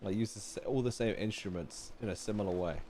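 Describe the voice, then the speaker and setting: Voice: low-pitched, brisk, mellow, slight background noise. A game streamer reacting live to a playthrough in a playful, joking way, talking back to the game. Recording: game music behind the voice. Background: video game music.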